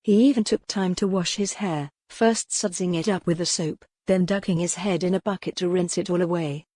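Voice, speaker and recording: A woman's voice: fairly low pitch, steady tone, normal speed, mellow, dry recording. A woman reading a historical romance novel as scripted audiobook narration.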